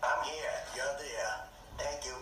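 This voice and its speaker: A man's voice: mid-range voice, fairly even intonation, unhurried, pushed and bright, faint music behind. Brief: older Boston-accented man, joking, very echoey replay from a distance. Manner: joking, talking to a phone. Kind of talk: casual home video. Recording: very echoey clip replayed through a tablet.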